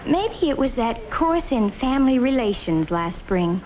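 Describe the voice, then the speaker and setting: Higher-voiced young narrator, muffled old film. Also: female voice, very animated, measured pace. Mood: reflective. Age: young adult. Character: thoughtful young college woman narrating about her friends. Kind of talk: scripted first-person film narration, 1950s. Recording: muffled old film soundtrack, faint hiss.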